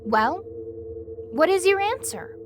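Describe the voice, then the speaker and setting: A woman's voice: very high voice, sing-song, takes it slowly, background noise, heavily acted. A woman playing a proud, guarded young female unicorn in a scripted audio drama, speaking with formal, precise wording. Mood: stern, commanding.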